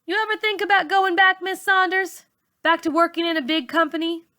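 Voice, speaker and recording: Lady reader doing a nosy streetwise schoolgirl, high bright voice, blunt and challenging, storybook style. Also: steady tone, a little fast. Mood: curious. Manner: informal, challenging, questioning.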